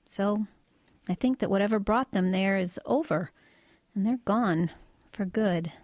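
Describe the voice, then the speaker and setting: A woman's voice: mid-range voice, measured pace, darkish tone, no room sound, clean studio sound, natural voice. An actress playing a matter-of-fact woman truck driver in an audio drama, recounting eerie visions in a hesitant, conversational way. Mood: quiet, wistful.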